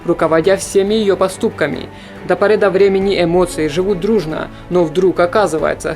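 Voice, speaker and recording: Man narrating over soft music. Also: high-pitched. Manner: even, informative. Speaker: film review narrator. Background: soft background music.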